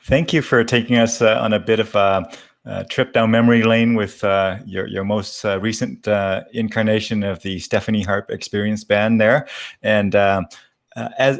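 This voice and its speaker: A man hosts a casual interview in a lowish voice with plenty of creak. He is polite and feels his way through sentences in measured, hesitant phrases. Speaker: host.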